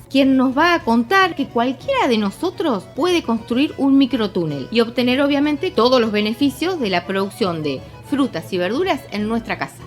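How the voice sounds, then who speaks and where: Argentine lady co-host on a radio show, fairly high expressive voice, bit of music under her. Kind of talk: presenting a radio magazine with friendly banter.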